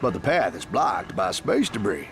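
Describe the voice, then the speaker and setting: A man's voice: fairly low pitch, sing-song, moderate pace. An actor playing a chatty, friendly frontier trader in a video game, with a rural Southern American drawl and a salesman's folksy manner. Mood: cheerful, folksy. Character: folksy friendly male frontier trader who bargains for trophies. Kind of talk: animated game character acting. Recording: music behind the voice.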